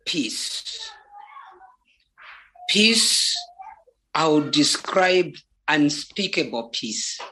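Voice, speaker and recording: Slow, deliberate testimony in a Zambian accent. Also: mid-range voice, expressive, sharp tone, poor audio. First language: Bemba. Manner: halting, deliberate, repeats words for emphasis. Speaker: religious testimony speaker. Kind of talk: spoken personal testimony.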